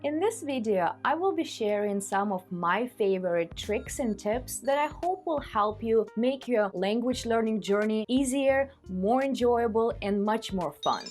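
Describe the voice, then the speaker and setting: A woman's voice: on the high side, lively intonation, a little slow, few pauses, darkish tone, soft background music. A woman with a Ukrainian accent, a native Ukrainian speaker, giving friendly, upbeat language-learning tips to her channel's viewers in a scripted vlog.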